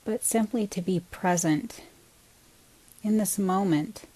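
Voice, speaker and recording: A woman guiding a body scan meditation as a teacher, in a calm, gentle, unhurried manner with pauses between short phrases. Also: medium pitch, slightly rough, rough recording.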